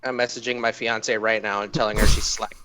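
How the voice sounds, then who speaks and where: A man's voice: lowish voice, level tone, a little fast, pushed and bright, dry recording. A man co-hosting a podcast, chatting casually in an informal, playful manner. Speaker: podcast co-host. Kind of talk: casual chat.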